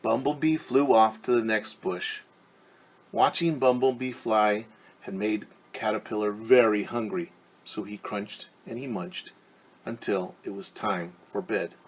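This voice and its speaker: Male storytime teacher: unhurried, husky, questioning, explains simply for children. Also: on the low side, steady tone.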